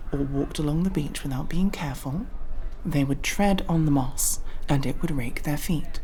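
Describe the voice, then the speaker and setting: A woman playing a gentle, affectionate alien crewmate in an ASMR roleplay, speaking softly and hesitantly as she patiently explains things. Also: deep voice, slight background noise. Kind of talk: ASMR roleplay audio drama.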